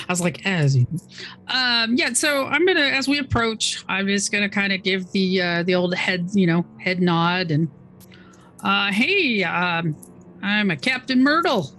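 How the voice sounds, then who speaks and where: A woman playing a tabletop game, chatty and quick with asides. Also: medium pitch, animated, fairly bright tone. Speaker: player. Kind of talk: casual tabletop play.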